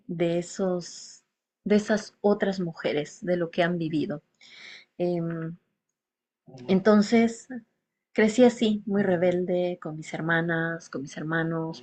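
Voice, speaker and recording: A woman telling her personal life story to an audience in a reflective, halting way, often pausing mid-phrase. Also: fairly low pitch. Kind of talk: confessional monologue to an audience.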